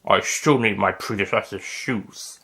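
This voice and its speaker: A man voicing a brusque, newly reborn alien time traveller with a Scottish accent, in slow, deliberate phrases for an audio story. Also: lowish voice, sharp tone, exaggerated voice. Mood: brusque, wry.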